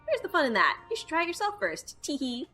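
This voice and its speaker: A smug young office girl from a visual novel, put on by a woman reading aloud, pitched up and bright. She sounds coy and mocking, teasing in a singsong way. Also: wildly swooping pitch, quick pace, soft background music, heavily acted.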